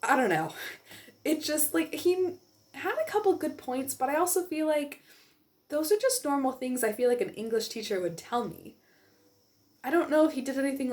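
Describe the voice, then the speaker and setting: A young woman chatting casually to viewers as a book vlogger, informal and peppered with "like" and offhand asides. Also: mid-range voice. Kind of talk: casual chat to viewers, monthly reading wrap-up.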